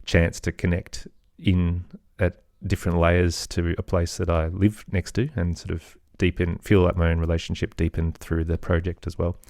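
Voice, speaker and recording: A man's voice: deep voice, monotone, normal speed, hardly any pauses, darkish tone, no room sound, studio quality. A middle-aged man giving reflective, loosely phrased answers as a guest in an interview. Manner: reflective, loosely phrased, hedging with "sort of" and "kind of". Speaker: podcast guest, musician and storyteller.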